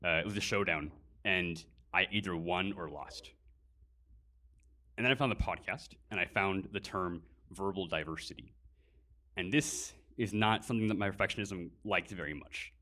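A man's voice: low voice, moderate pace, bright, forceful tone. A man giving a prepared personal speech at a live event, earnest, with fillers between phrases. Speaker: guest speaker.

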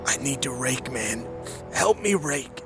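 A man's voice: medium pitch, animated, takes it slowly. A man playing a hapless, strung-out young vampire outcast in an audio drama, agitated, whining and slangy. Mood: pleading, hurt. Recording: loud music behind the voice.

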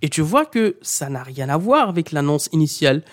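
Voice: mid-range voice, big pitch swings, moderate pace. Emphatic language teacher lecturing. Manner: emphatic, insistent, repeats key points; speaks directly to the listener as "tu". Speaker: Arabic teacher. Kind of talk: didactic monologue to learners.

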